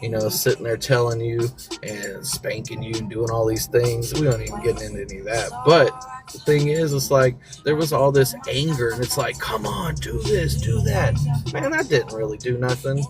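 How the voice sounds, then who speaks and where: A man's voice: mid-range voice, normal speed. American man, casual motivational talk over music. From southern United States (Mississippi and Florida). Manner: slangy, encouraging, repeats key phrases for emphasis. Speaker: talk show host. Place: in a vehicle during a commute.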